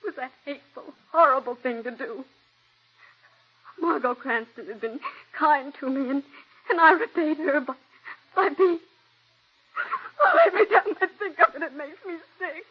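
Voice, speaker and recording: An actress playing a young, ambitious stage actress who pleads tearfully and breathlessly, in 1940s radio drama style. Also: female voice, high voice, normal speed, long pauses, close to an everyday voice. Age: young adult. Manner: breathless, pleading, breaks into sobs. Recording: dull old radio broadcast.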